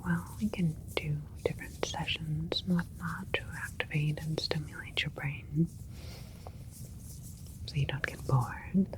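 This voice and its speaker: Casting: woman as gentle alien doctor for sci-fi ASMR roleplay; whispered, unhurried, soothing and clinical. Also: low-pitched, fairly even intonation, rough recording. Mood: soothing, attentive. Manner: soft, careful, soothing, clinical phrasing. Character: gentle alien scientist doctor tending a newborn plant hybrid.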